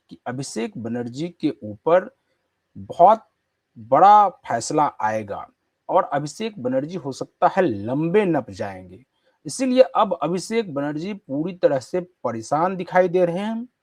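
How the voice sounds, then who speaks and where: A male news host with a fairly high voice and very lively intonation. He delivers political-style commentary emphatically, stressing points through repetition. The sound is dry and close. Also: no room sound. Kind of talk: news commentary and panel questioning.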